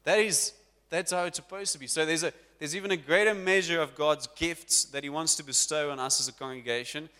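A man preaching to his congregation as pastor, lively and talking directly to the people in front of him. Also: on the high side, a little fast, clear, resonant tone. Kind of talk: sermon. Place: church hall. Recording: long church hall reverb.

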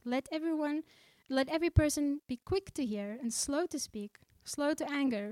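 Woman preacher, from South Africa, higher voice, earnest, conversational, dry close sound. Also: darkish tone, no echo. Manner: earnest, slows down to read scripture aloud; conversational, with fillers and restarts. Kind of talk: sermon.

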